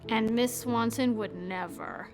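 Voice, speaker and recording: A cynical ghost voiced by a woman speaks in a fairly high, deadpan voice. She is gloomy and sardonic, an audio drama character with music playing softly behind. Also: unhurried. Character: cynical, gloomy female ghost who enjoys others failing.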